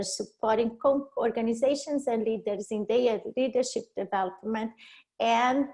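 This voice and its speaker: Slow Brazilian-accented woman presenting. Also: a little high, a little mellow, poor audio. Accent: Brazilian Portuguese. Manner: halting, restarts words and self-corrects. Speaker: leadership coach presenting a webinar. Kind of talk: webinar presentation.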